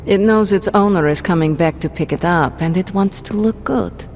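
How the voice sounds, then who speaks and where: A woman's voice: fairly low pitch, normal speed, a little hiss or hum, natural voice. Cocky sorceress, voiced by a woman, phone-like sound. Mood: playful, cocky. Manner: casual, teasing. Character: cocky, playful young female sorceress. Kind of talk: scripted video game dialogue.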